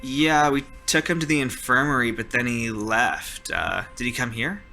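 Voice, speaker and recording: A man's voice: medium pitch, moderate pace, vocal fry, pushed and bright, faint music behind. A man casually improvising narration in a tabletop roleplaying game.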